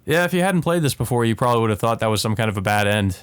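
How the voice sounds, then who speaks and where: A man's voice: mid-range voice, expressive, racing, clear, resonant tone, dry recording. A man hosting a let's play, reading visual novel narration aloud and adding casual asides, with game music behind him.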